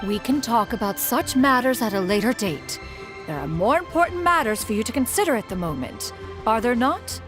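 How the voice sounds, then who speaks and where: A woman voicing a composed, formal auntie figure who speaks in a measured way, in a game with music. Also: on the high side, very animated. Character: poised, mature auntie figure. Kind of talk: game voice acting. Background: game background music.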